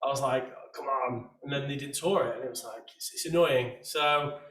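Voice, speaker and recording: Male host, soft voice, loose chatty delivery, roomy distant sound. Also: mid-range voice, fairly quick. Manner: chatty, rambling, many restarts. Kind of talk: casual chat.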